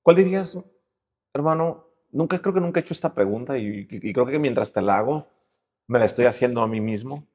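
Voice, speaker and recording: A man's voice: medium pitch, animated, fairly quick, a little mellow. A man hosting a casual conversational interview, reflective as he rephrases and repeats his questions. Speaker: interview host.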